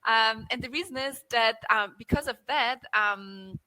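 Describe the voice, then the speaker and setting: A woman's voice: fairly high pitch, unhurried, sharp tone, rough recording. A woman from northern Italy whose first language is German, chatting in a casual video-call interview as a winery guest, often tagging "right?" and restarting phrases. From Alto Adige, northern Italy. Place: indoors with a mountain backdrop.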